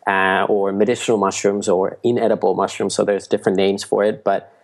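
A man from Finland, his voice low and flat in pitch. He talks as a podcast guest, laying out ideas in a steady, explanatory way. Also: a little fast. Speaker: guest, mushroom food entrepreneur. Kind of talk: casual interview talk.

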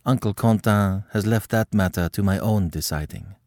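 A man voicing a composed young noblewoman who speaks quietly and firmly. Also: fairly low pitch, no echo, studio quality, natural voice. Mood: calm firm. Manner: quiet, measured.

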